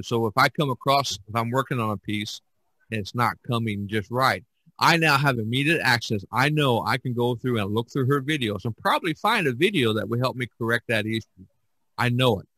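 Guy hosting a chatty podcast, kinda halting, repeats himself, dry sound. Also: medium pitch, pushed and bright, no echo, low-quality recording. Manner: hesitant, halting with repeated words. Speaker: podcast host. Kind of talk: casual podcast chat.

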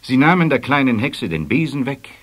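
A man narrating a children's radio play in expressive, scripted storybook style. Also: mid-range voice, normal speed.

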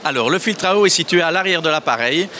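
Bright-voiced man pitching a product. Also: expressive, fast talker, a little hiss or hum. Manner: explanatory, sales pitch. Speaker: sales representative. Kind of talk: product explanation. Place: busy trade fair stand indoors. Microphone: handheld microphone held by interviewer.

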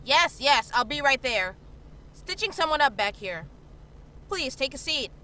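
A woman's voice: sits high, very animated, measured pace, sharp tone, heavily acted. A woman playing a busy town healer in a visual novel, calling out from a back room. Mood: busy, obliging. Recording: distant voice with some background noise.

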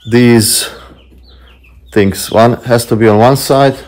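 A man's voice: mid-range voice, fairly even intonation, takes it slowly, darkish tone. Self-deprecating man in an echoey shed. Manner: plain, chatty and self-deprecating, with frequent fillers. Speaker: homesteading vlogger. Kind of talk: casual talk to camera while working. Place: stone-walled workshop shed. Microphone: handheld phone camera at arm's length. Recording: echoey shed with faint background noise.

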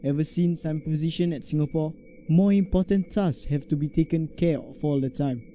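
An earnest young army lieutenant with a slightly raised voice, played by a young man. He talks in formal, dutiful phrases. The amateur recording carries clear background noise. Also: a little high, normal speed, lo-fi sound. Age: young adult. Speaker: student radio play actor. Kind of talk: amateur radio drama acting.

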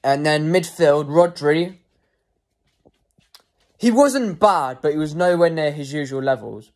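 A man's voice: fairly high pitch, expressive, measured pace. A young man giving casual post-match player ratings as a football fan, hesitant with frequent ums and self-corrections.

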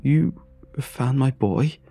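A man's voice: fairly low pitch, fairly even intonation, measured pace, gravelly, darkish tone, breathy edge, exaggerated voice. A man voicing a grieving father who speaks shakily and breathlessly on the phone. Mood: desperate pleading. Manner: shaky, breathless, pleading.

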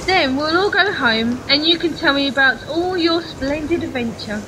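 A woman's voice: high-pitched, normal speed, heavy background noise, lightly acted. A woman playing an affectionate, reassuring British wife in an amateur radio play. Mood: fond, excited.

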